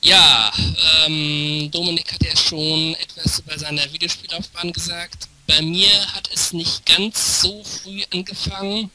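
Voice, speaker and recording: A man's voice: a little high, level tone, unhurried, few pauses, a crowd in the background, rough recording. A man in his thirties co-hosting a casual podcast with a wry, tongue-in-cheek manner over a clicky internet call. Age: 30s. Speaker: podcast co-host. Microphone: online voice call.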